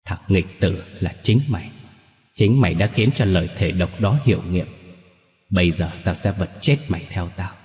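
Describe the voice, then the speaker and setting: Man voicing a low, growling vengeful old ghost. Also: fairly even intonation, moderate pace, long pauses, a little mellow, echoey room, big-room sound, lightly acted. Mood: menacing. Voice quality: growling through gritted teeth. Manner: cursing, taunting. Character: vengeful old father's ghost, bitter and menacing.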